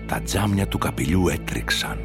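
Very deep, creaky male storyteller. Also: sing-song, music in the background. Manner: slow, deliberate. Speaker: narrator. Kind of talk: dramatic literary story narration.

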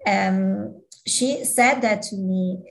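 A woman from Switzerland talks as an interview guest at a medium pitch. She speaks hesitantly, restarting her sentences often and using non-native grammar. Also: takes it slowly, gravelly, rough recording. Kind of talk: casual interview talk.

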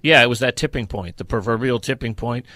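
A man's voice: mid-range voice, brisk, pushed and bright, no room sound. A man co-hosting a sports podcast, talking football in a casual, conversational way.

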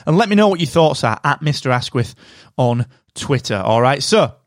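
A man hosting a solo podcast, giving direct, energetic advice while sounding slightly nasal from a cold. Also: mid-range voice, moderate pace, dry recording, clean studio sound. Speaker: podcast host.

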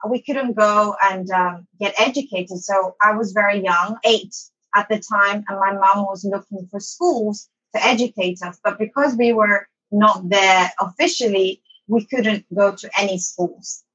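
A woman's voice: mid-range voice, measured pace, a bit bright, husky, rough recording. A woman from Somalia who moved to London, chatting informally as an interview guest and telling her own story. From born in Somalia, moved as a child to Saudi Arabia, then London. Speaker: interview guest, online English teacher. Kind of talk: casual personal storytelling in an interview.

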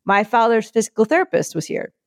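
Animated woman telling a story. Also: quick pace, gravelly, dry recording, studio quality. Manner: animated, acts out quotes in higher voice. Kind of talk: casual storytelling chat.

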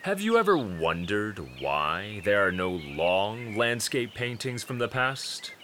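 Radio drama: condescending senior academy master, lowish forceful voice, faint outdoor birdsong behind. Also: male voice, sing-song, slow pace, a little hiss or hum, full character voice. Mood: stern, condescending. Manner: admonishing, weighty. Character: stern, condescending senior headmaster of painting academy.

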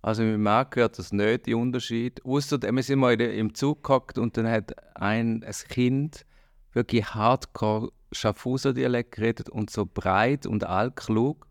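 A man's voice: medium pitch, steady tone, measured pace, no room sound, clean studio sound. A man in his late forties with a Swiss German accent, a guest on a podcast, speaking hesitantly and thoughtfully with many fillers. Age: 40s. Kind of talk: casual chat.

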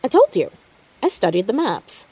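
A woman playing an earnest, methodical teenage girl sleuth in a naturalistic audio drama, hushed and a little nervy. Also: mid-range voice, very animated, normal speed, husky. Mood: relieved, matter-of-fact.

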